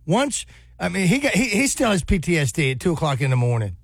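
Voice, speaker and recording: A man's voice: a little high, very animated, quick pace, husky, no room sound, clean studio sound. A man in his sixties co-hosting a casual sports talk show, telling rambling nostalgic stories with many restarts. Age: 60s. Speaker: sports radio co-host.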